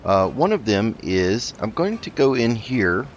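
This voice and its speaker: A man hosting a roleplay gaming video, talking in a measured, halting way as he plays and narrates. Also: on the low side, big pitch swings, muffled recording, slight background noise. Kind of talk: roleplay let's play commentary.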